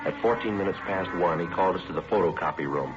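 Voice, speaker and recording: A low-pitched male police detective played by a radio actor recounts his work in clipped, matter-of-fact phrases. He sounds businesslike and deadpan, in a vintage radio crime drama with a muffled recording and music underneath. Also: quick pace, natural voice. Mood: matter-of-fact narration. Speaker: narrator and lead actor. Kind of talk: 1950s radio crime drama narration and acting.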